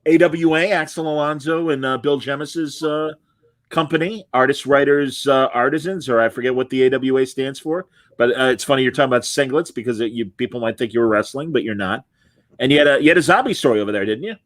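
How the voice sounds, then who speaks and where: A man's voice: medium pitch, fast, fairly bright tone, no echo. A man hosting an interview podcast, chatty and enthusiastic, often restarting his sentences. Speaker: podcast host. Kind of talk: casual interview conversation. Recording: dry close sound.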